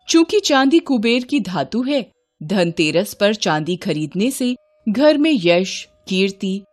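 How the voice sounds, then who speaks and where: Woman, scripted devotional storytelling, lively pitch, measured delivery, music behind. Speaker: storyteller, narrator.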